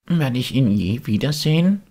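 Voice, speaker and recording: A man's voice: medium pitch, animated, moderate pace, dark tone, voice pushed up, close to an everyday voice. A man voicing an eager, naive little wooden puppet boy, exclaiming and pleading in an audiobook. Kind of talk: audiobook character voice.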